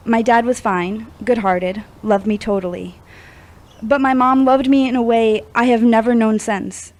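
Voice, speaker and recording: A woman's voice: mid-range voice, darkish tone, natural voice. A woman playing a troubled, earnest young woman in an audio drama, speaking confessionally. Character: troubled young woman opening up about past grief. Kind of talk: amateur audio drama acting.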